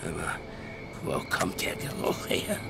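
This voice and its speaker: A man's voice: unhurried, loud music behind the voice, natural voice. A man playing a sardonic aging horror writer. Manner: sarcastic, grumbling. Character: older male horror author, dry and sardonic. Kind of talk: radio play acting.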